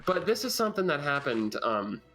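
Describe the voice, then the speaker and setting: A young man tells a joking story on a game stream, his voice bright and lively, swinging up and down as he goes. He talks into a desk mic at his computer, with faint music underneath. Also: on the high side, a little fast, poor audio. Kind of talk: casual chat on a game stream.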